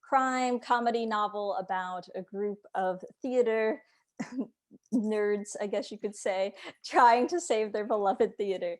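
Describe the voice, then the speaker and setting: A woman's voice: medium pitch, slow pace. A woman interviewer hosting a casual conversation about books over a video call. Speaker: interviewer and publishing host.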